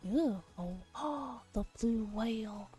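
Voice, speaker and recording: A soft-spoken woman streamer doing an ASMR read-aloud of a visual novel, with giggly asides. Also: on the high side, lively intonation, slow. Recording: music behind the voice. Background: background music.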